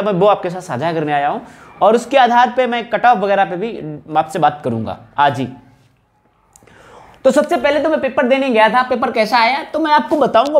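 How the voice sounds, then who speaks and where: A man gives an informal explanatory lecture as a coaching teacher, often repeating phrases for emphasis. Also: high voice. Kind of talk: informal exam analysis lecture to camera. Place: small indoor room, at a whiteboard. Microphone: wired lapel mic.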